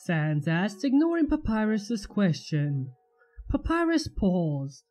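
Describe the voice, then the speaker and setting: A woman narrates a fan fiction story. Her voice is mellow and medium in pitch, with lively movement as she reads the scripted prose expressively. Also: takes it slowly, soft background music.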